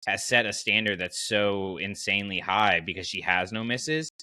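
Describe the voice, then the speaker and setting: A man's voice: lowish voice, normal speed, sharp tone, no echo. A man hosting a podcast in casual conversation, thinking aloud and giving his opinions as he goes. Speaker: podcast host. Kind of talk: casual podcast chat about fiction.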